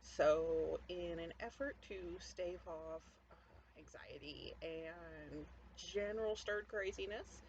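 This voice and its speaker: A woman's voice: on the low side, slow, darkish tone. A woman author speaking informally as she presents her own fiction from home. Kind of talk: casual introduction to a home story reading. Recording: dull lo-fi audio, noticeable background noise.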